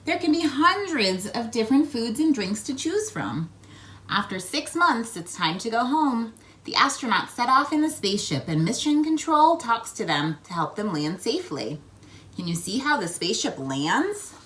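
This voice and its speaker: A woman reading a children's picture book aloud in a playful, expressive way, prompting young listeners with questions. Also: on the high side, moderate pace. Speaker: children's read-aloud host.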